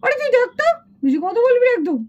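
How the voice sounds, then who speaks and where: A headstrong little schoolgirl doll, extremely high and very animated in pitch. She is bossy and boastful, in puppet-show style on a slightly dull recording. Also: fast talker. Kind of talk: children's puppet show dialogue.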